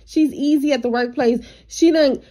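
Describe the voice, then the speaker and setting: A woman's voice: high voice, fairly even intonation, normal speed, dark tone. An American woman talking casually and bluntly on a confrontational phone call, with a dismissive manner. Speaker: caller, vlogger.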